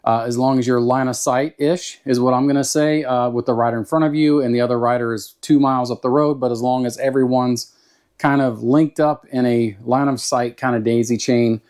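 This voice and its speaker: Male gear reviewer, Southern US accent, mid-pitched voice, folksy and unhurried, informal monologue delivery. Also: fairly even intonation, a little mellow. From Southern United States. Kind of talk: casual product review monologue.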